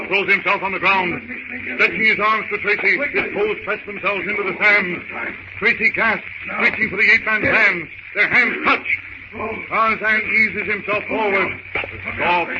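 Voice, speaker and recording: A man's voice: sits high, steady tone, normal speed, lo-fi sound, very noisy. A man narrating a 1930s radio adventure serial in a theatrical, urgent manner with emphatic short phrases, on an old radio recording.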